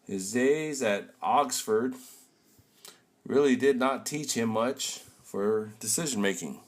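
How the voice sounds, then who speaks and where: A young man playing a shady older businessman on a phone call, speaking in a low, clipped way. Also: slow, slight background noise, close to an everyday voice. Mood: cool. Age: young adult.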